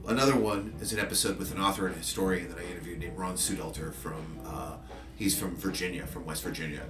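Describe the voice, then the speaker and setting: A very deep-voiced man telling stories from his podcasting work, hesitant and full of fillers and restarts. He sounds roomy and set back from the mic, with faint music underneath. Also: steady tone, quick pace, far from the mic. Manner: rambling, many fillers and restarts. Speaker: podcaster and journalist. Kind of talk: casual conversational interview.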